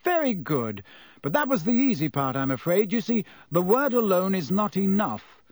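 A calm, kindly middle-aged professor, as a male audiobook reader puts him on, guides his class in a patient, encouraging way. The voice sits a little high and moves a lot in pitch. The recording is dry and close. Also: moderate pace, no room sound. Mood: patient teaching. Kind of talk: audiobook character voice.

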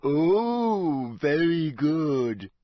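A man voicing a mournful, touchy ghost girl in a storybook, with a drawn-out, whiny, shrill delivery. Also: big pitch swings, mellow, dry recording, studio quality, heavily acted. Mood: sneering. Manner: drawn-out, whiny, wailing when upset.

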